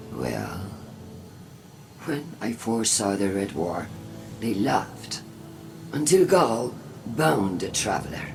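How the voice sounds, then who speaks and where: An actor playing a grave, suspicious and proud war-faction leader in a video game, delivering measured, ominous oratory. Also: male voice, on the low side, vocal fry, exaggerated voice. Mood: bitter, menacing. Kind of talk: dramatic video game monologue.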